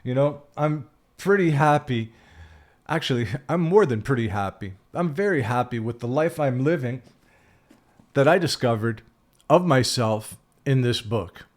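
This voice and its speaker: A man of sixty, speaking at an ordinary middle pitch. He talks in a loose, reflective way, full of fillers and self-corrections, and turns emphatic when he disagrees. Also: animated, unhurried. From Canada. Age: 60s. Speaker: spiritual vlogger. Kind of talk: reflective solo talk.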